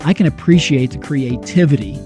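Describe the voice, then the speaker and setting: A man co-hosting a conversational religious commentary, explaining in a measured way and posing questions that he answers himself. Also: mid-range voice, animated, music in the background. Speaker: co-host.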